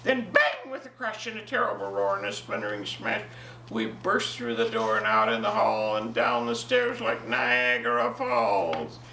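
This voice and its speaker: A woman of ninety, a grandmother with a jovial, expressive manner, talking and telling stories to her family. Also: medium pitch, unhurried, gravelly. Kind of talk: casual reminiscing and animated story reading for family. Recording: echoey, distant home recording with faint noise.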